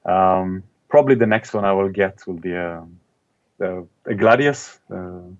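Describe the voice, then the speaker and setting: A middle-aged man from Israel, an author being interviewed, speaking in a thoughtful, casual way with many pauses and ums. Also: deep voice, dull audio.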